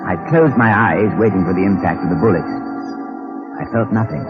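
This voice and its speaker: A man's voice: fairly low pitch, expressive, moderate pace. An actor playing a cool, wry, urbane private detective who also narrates his case in an old radio drama. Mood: tense storytelling. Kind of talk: 1940s-50s radio drama acting and narration. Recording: dull old radio recording, music behind.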